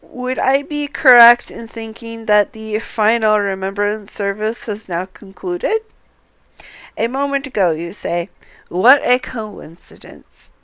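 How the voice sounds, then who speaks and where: A woman game streamer reading dialogue aloud on a livestream in a deliberate, sometimes halting way, with casual commentary. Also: mid-range voice, fairly bright tone, clean tone, dry recording.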